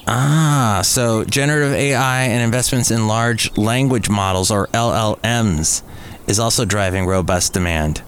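A man's voice: lowish voice, measured pace, slight background noise. A man hosting a podcast in a casual, chatty solo style. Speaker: podcast host.

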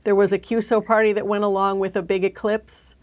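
A woman's voice: mid-range voice, level tone, a little fast, a little mellow. A woman hosting a podcast, introducing and interviewing a guest. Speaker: podcast host. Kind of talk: scripted introduction and interview questions.

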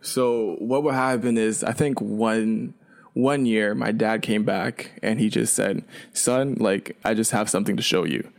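Young man, Chinese first language, Canadian-raised; low-set voice, loose and rambling with lots of fillers. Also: a little slow, vocal fry. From China, raised in Canada. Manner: relaxed, rambling, frequent fillers and restarts. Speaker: guest. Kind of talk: casual storytelling.

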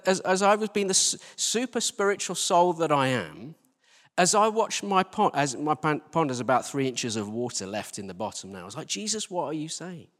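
A man with a British accent and a high-set voice preaches informally in a very echoey hall. He talks to the congregation conversationally, pokes fun at himself, and leans on repeated phrases and rhetorical questions. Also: big pitch swings, moderate pace, a bit bright. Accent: British English. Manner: conversational, self-deprecating, with rhetorical questions and repetition. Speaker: church team leader preaching. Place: large reverberant indoor church space. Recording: live church hall, long reverberation.

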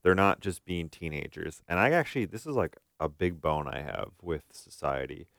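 A man's voice: rumbling low, lively intonation, normal speed, creaky, fairly bright tone, no echo, clean studio sound. An American man co-hosting a podcast, chatting casually with informal, folksy phrasing. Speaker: podcast co-host and practicing therapist. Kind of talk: casual conversational podcast chat.

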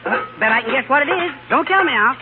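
A man's voice: very high voice, lively intonation, fast talker, over loud music. An actor playing a cheeky, nosy laundry delivery man in a 1930s radio comedy-drama. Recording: tinny, scratchy 1930s radio broadcast, hum.

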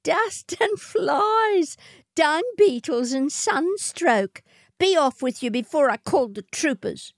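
A woman voicing a haughty, snobbish young outback woman in a storybook reading, with a shrill, sneering, theatrical delivery. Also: animated, slow, no room sound, studio quality, exaggerated voice. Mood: scornful.

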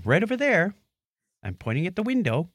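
American male co-host, higher-pitched and lively, teasing conversational delivery. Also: a little fast, no echo, studio quality. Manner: joking, teasing banter. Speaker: podcast co-host. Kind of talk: casual chat.

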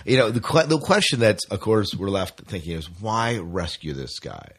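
Low-voiced man chatting about fandom. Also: lively intonation, normal speed, a bit bright, slightly rough. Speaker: podcast host. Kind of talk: casual sci-fi fan chat.

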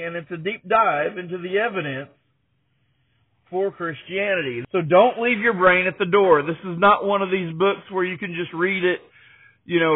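A male podcast host with a high-pitched, husky voice presents conversationally. The sound is narrow and phone-like.

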